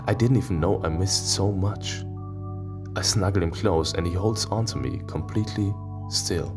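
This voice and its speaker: A man's voice: low voice, normal speed, music in the background. A man reading as an introspective animal narrator in a romance visual novel, in an earnest, tender, reflective manner.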